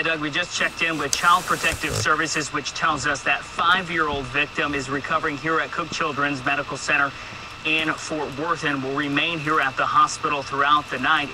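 A man in his thirties reporting live on TV from outside a hospital at night. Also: on the high side. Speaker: TV field reporter. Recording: TV broadcast replayed, noisy.

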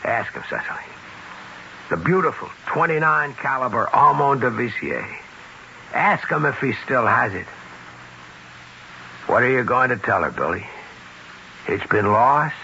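Relentless accuser, animated and gravelly. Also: male voice, medium pitch, measured pace, pauses often, exaggerated voice. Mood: accusing, sardonic. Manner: taunting, probing with pointed questions. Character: relentless accusing man, sardonic and moralizing. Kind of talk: 1970s radio drama acting. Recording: hissy muffled 1970s radio recording.

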